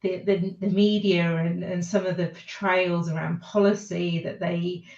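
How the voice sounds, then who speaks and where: A British woman telling a personal story as a podcast guest, reflective and halting in her delivery. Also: fairly low pitch, little pitch movement, a little mellow. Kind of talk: personal storytelling in a panel discussion. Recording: echoey, dull lo-fi sound.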